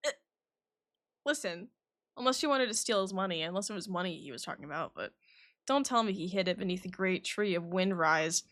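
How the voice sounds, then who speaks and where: A woman livestreaming a video game, commenting casually in a giggly, exclamatory and expressive way. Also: fairly low pitch, brisk. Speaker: game streamer. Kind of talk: livestream game commentary, reading dialogue aloud.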